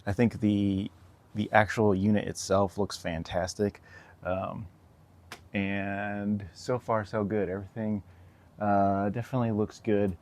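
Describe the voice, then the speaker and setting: A man's voice: on the low side, flat delivery, a little slow, darkish tone. A man giving a casual, hands-on product review in an informal way, with trailing asides.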